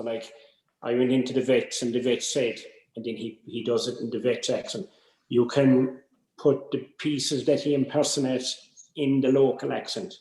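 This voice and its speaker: An Irish man with a mid-pitched voice talks informally to a workshop group, offering practical advice. The sound is noticeably roomy and echoey. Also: a little mellow. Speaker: drama workshop adviser.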